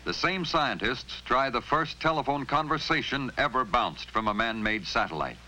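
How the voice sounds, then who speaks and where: A man's voice: medium pitch, expressive, moderate pace, pushed and bright, slight background noise, low-quality recording. A man with an American accent reading scripted narration in the style of a 1960 documentary newsreel. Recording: old film soundtrack with music.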